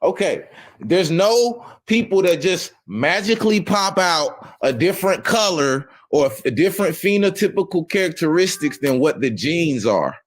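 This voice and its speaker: A man with a slightly raised pitch hosts a casual group debate, laying out his points like a lecture. He keeps pressing his listeners with quick "right?" and "okay?" checks as he drives each point home. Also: expressive.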